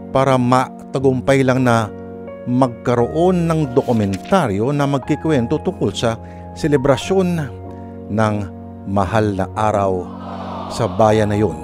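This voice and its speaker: Male radio drama host, Filipino accent, theatrical narration, highly animated pitch, clear music bed. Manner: theatrical, rhetorical. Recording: music under the voice.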